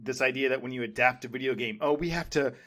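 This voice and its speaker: A man's voice: medium pitch, fast talker, fairly bright tone. Male co-host, mid-range voice, loose rambling fan talk, enthusiastic and conversational. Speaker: podcast co-host. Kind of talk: casual chat, pop culture discussion.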